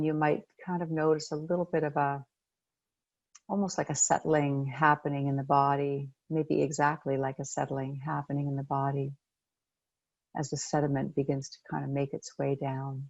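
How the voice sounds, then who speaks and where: Meditation coach, woman, low gravelly fry, gentle and unhurried delivery. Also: little pitch movement, no room sound, muffled recording. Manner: gentle, unhurried, pausing between phrases. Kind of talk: guided meditation.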